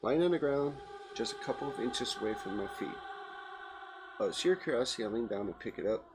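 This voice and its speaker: A man's voice: mid-range voice, expressive, brisk, background noise. A man hosting a let's play, reading story narration aloud with some dramatic emphasis and casual commentary. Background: loud game music.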